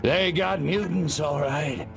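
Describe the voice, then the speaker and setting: A man's voice: a little high, slow pace, vocal fry, music in the background. A man voicing a cocky, vengeful alien invader in a video game, with a sardonic, belligerent manner. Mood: grim.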